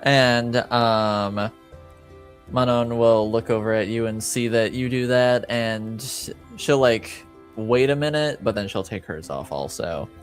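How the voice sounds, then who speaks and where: A man improvising narration as game master of a tabletop roleplaying game, halting as he searches for words. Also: medium pitch, soft background music.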